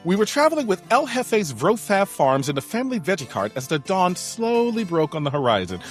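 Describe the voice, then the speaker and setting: Give me a man playing a melodramatic young adventurer, swooping pitch. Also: big pitch swings, brisk. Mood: dramatic storytelling. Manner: florid, dramatic storytelling. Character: theatrical, melodramatic young male adventurer narrating a quest. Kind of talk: scripted audio drama narration. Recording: music behind the voice.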